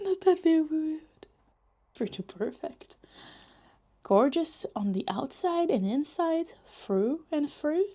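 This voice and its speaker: A woman's voice: mid-range voice, dark tone, close to an everyday voice. A woman voicing a possessive, obsessive young woman who schemes and muses to herself in a roleplay ASMR monologue, sighing and slipping into half-whispers. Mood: obsessive, adoring.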